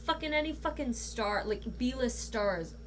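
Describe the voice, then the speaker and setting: A woman's voice: a little high, lively intonation, a little slow. A woman chatting casually as a podcast guest, speaking bluntly and swearing freely. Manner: blunt, profane. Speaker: guest, amateur voice actor. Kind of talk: casual chat. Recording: audible background noise and hum.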